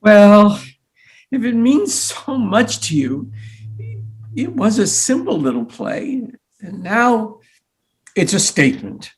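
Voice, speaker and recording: Man acting a slow, sarcastic young playwright son. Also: sits high, very animated, heavily acted. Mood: bitter, resigned. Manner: deliberate, sarcastic. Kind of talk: staged play reading.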